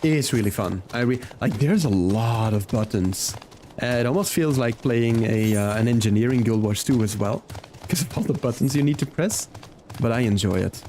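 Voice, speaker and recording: A man's voice: medium pitch, lively intonation, moderate pace, warm tone, slight background noise. A man live-streaming a game, chatting casually and in a friendly way with his viewers while thinking aloud as he plays. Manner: friendly, talks directly to chat, thinks aloud. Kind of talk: casual chat and gameplay commentary for viewers.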